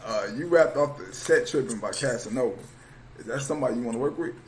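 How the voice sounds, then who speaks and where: A man hosting an interview, asking loose, rambling questions in relaxed slang. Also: mid-range voice, fast talker. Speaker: interviewer, host.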